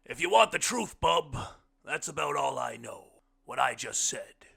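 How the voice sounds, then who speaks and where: A man voicing an earnest, insistent blind man in an audiobook, speaking in loud, emphatic, folksy plain talk. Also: medium pitch, big pitch swings, measured pace, gravelly, slightly rough, pitched above the performer's own voice, full character voice. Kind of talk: audiobook character dialogue.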